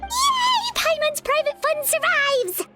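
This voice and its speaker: Super high squeaky lil game fairy, perky and childlike, swoops all over, music behind. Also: female voice, very animated, slow pace, bright, forceful tone, full character voice. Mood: excited, cheerful. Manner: perky, exclaiming, refers to herself by name. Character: tiny excitable, childlike floating fairy companion girl. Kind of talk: English video game voice acting.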